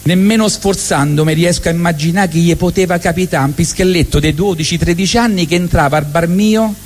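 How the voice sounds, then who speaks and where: A middle-aged man from Rome with a Roman accent, telling a wry, deadpan comic story on stage at a live event. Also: a little high, a little fast, big-room sound. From Rome, Italy. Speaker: monologue performer.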